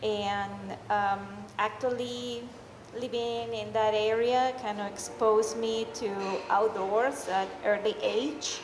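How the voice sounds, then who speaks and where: A middle-aged woman from Peru with a Peruvian Spanish accent tells a personal story live, in an informal, halting way. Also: fairly high pitch, steady tone. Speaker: amateur storyteller. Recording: echoey hall, faint background noise.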